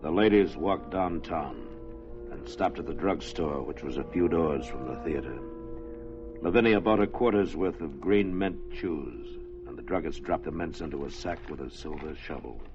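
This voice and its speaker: Very deep suspense narrator. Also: male voice, normal speed. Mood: grave, measured. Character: grave, storytelling male narrator of a suspense tale. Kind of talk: mid-century radio drama narration. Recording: muffled old radio recording. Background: music.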